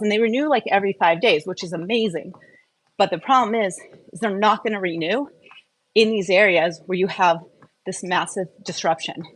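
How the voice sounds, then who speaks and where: A woman speaks in a medium-pitched voice as the host of a live online wellness talk. She explains informally, addressing her viewers directly. Her delivery is conversational, moving step by step and posing questions that she then answers. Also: rough recording.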